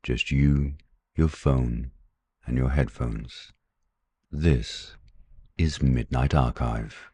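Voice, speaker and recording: A man with a husky voice delivering a scripted late-night podcast introduction as host. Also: rumbling low, steady tone, drawn-out words, long pauses, darkish tone, no echo.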